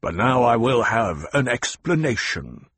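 Narrator voices a domineering, petulant fat lord, demanding, husky, animated. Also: male voice, mid-range voice, a little slow, full character voice. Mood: blustering. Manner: blustering, demanding. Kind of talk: audiobook character voice.

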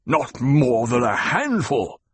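A man's voice: medium pitch, very animated, moderate pace, breathy edge, dry recording, full character voice. A man voicing a fat, petulant male nobleman who blusters and makes demands. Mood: blustering. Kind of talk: audiobook character voice.

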